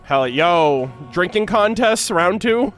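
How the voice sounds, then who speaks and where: Higher-pitched young man, slangy game reactor. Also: slow, faint music behind. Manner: excitable, exclamatory, playful. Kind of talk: casual, slangy live reaction commentary.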